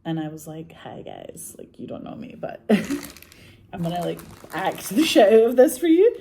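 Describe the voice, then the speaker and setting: A woman's voice: lowish voice, sing-song, normal speed, gravelly, dark tone, a little hiss or hum. A Scottish woman telling stories in a rambling, chatty way, laughing often and saying "like" a lot. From Scotland. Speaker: actress and filmmaker. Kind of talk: casual chat.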